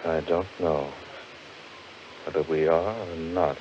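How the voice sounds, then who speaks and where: A male actor playing a cautious, level-headed gentleman with a measured, restrained manner in 1940s radio horror style. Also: extremely low pitch, steady tone. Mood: cautioning. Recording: muffled, very noisy old radio recording.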